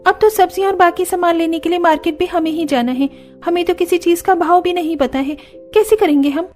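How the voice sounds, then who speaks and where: A woman playing a fussy young daughter-in-law in a cartoon tale, her voice lifted high and bright as she complains and presses every point. Soft music runs underneath. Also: fairly even intonation, brisk. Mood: anxious. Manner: complaining, emphatic.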